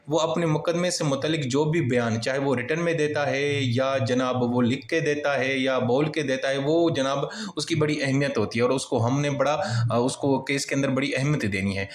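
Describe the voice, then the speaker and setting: A man's voice: medium pitch, fairly even intonation, moderate pace, few pauses. A man giving an informal explanatory law lesson, reading out points and restating them in colloquial terms. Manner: reads a point, then restates it colloquially with examples. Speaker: law lecturer. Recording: echoey, roomy voice recording.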